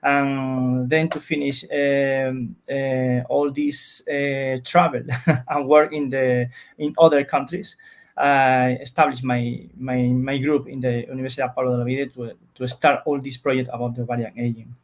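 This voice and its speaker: A man's voice: medium pitch, little pitch movement, a little slow. A man with a Spanish accent explains research as an interview guest, searching for words in non-native English.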